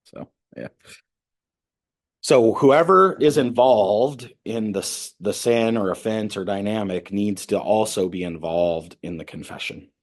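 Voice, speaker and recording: Husky, low-voiced pastor teaching. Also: male voice, a little slow. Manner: candid, animated storytelling, voice jumps up when excited. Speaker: pastor teaching a ministry class. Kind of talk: classroom teaching with personal stories.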